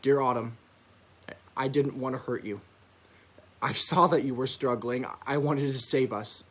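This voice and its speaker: A young man playing a gentle, apologetic, grieving teenage boy in a stage drama of spoken letters. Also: medium pitch, unhurried. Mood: remorseful, gentle.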